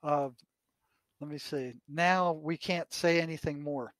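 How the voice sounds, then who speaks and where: Higher-pitched older American man, dry sound. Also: fairly even intonation, unhurried, fairly bright tone, dry recording. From United States. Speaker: retired psychotherapist, podcast guest. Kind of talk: conversational anecdotes and reading aloud from written notes.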